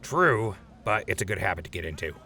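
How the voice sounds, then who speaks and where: A man's voice: low voice, expressive, brisk, background noise. A man playing an easygoing, friendly wasteland radio host in an audio drama, with a mild-mannered delivery. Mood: mildly exasperated. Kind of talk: post-apocalyptic radio drama acting. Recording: music behind the voice.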